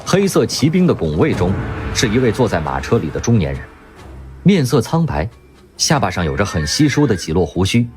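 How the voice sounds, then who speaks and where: A man narrating a radio drama in a vivid, expressive storytelling manner. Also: fairly low pitch, a little fast. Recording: music underneath.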